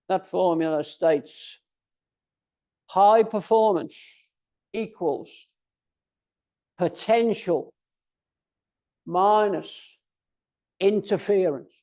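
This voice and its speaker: A man of about eighty giving a motivational speech, speaking theatrically and emphatically, with deliberate pauses and repetition. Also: high voice, dark tone. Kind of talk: motivational speech to a club audience.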